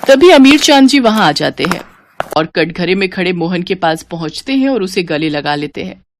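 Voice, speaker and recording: Expressive woman narrating a cartoon story. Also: fairly low pitch, normal speed, slight background noise.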